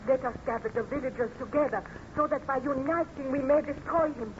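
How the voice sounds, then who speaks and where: A woman's voice: high voice, brisk, close to an everyday voice. A woman playing a frightened, pleading, anxious heroine in theatrical old-time radio melodrama style. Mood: urgent. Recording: tinny, noisy vintage radio recording.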